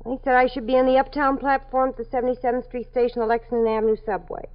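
A woman's voice: fairly high pitch, flat delivery, quick pace, natural voice. An actress playing a frightened young woman being questioned by police, defensive and pleading, in a 1950s radio drama. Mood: confessing. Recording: dull old radio broadcast recording.

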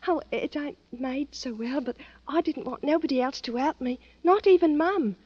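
A muffled old radio drama carries a very high, girlish voice acted by a woman. She is a working-class English sweetheart, young, anxious and devoted to her soldier. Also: normal speed, no room sound, lightly acted. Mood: worried, tender. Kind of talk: 1940s radio drama acting. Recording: dull old radio recording.

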